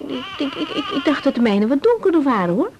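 Young mom in an 80s radio play, soft mellow voice, lively, keeps stumbling over words. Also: female voice, sits high, fast talker. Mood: tender, proud. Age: young adult. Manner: hesitant, repeats words. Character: young adoptive mother, tender, hesitant, guilt-ridden. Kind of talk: 1980s radio drama acting.

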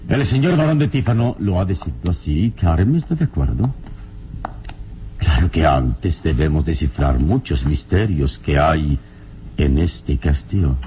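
A noble, serene adventure hero of old radio drama. The voice is very deep and dark, with wide, expressive swings in pitch and a solemn, measured delivery. The old recording carries faint noise and rumble. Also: male voice. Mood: grave. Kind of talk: old radio serial acting.

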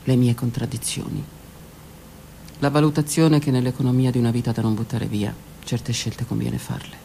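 A woman's voice: a bass voice, fairly even intonation, moderate pace, gravelly, dark tone, slight background noise. A woman performing an introspective theatrical monologue for radio, delivered dramatically and at times dropping to a whisper. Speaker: stage performer. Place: stage or studio performance.

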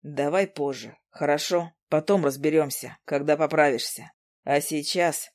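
A woman voicing a hot-tempered, protective young male demon in an audiobook, speaking low and deliberately. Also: steady tone, dry recording, studio quality. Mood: calm. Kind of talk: audiobook character voice.

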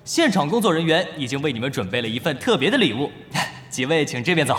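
A man's voice: on the high side, big pitch swings, quick pace, slight background noise. A man playing a flustered young event host in a radio drama, polite and coaxing, with hesitant announcing. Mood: upbeat announcing. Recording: echoey arena reverb over loud music.

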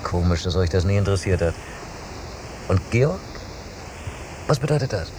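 An actor playing an inquisitive man who questions and explains in a deliberate, didactic way in a radio drama. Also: low voice, animated, background noise.

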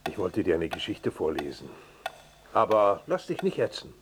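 A man's voice: sits low, very animated, moderate pace, noisy background. A man playing a fussy, doting, overbearing father in a radio drama, talking in a solicitous, insistent way. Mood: insistent, prying. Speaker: actor. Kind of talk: German radio drama acting.

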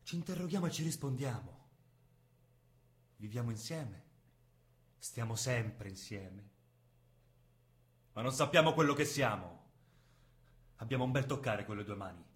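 A man's voice: mid-range voice, lively intonation, a little slow, long pauses, fairly bright tone. An actor playing a restless, bleakly insistent blind man in theatrical stage style. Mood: bleak, pondering. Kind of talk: theatrical stage-play acting.

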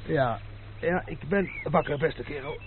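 An actor playing a commanding gentleman on the run in an old radio drama, speaking in a brisk, curt manner. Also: a little high, a crowd in the background, close to an everyday voice. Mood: weary. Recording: tinny old radio play with faint hiss.

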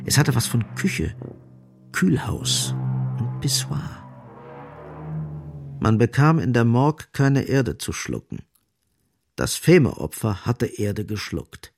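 A man narrates a radio play in a gravelly voice with lively shifts of pitch. His delivery is grave and literary, built on short, emphatic phrases. Music plays faintly underneath.

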